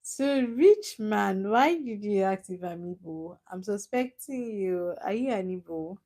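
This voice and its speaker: A woman's voice: mid-range voice, lively intonation, measured pace, dark tone. Young Nigerian woman, live stream host; casual, chatty, laughs easily. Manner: chatty, teasing, laughs often, answers chat comments. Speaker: livestream host.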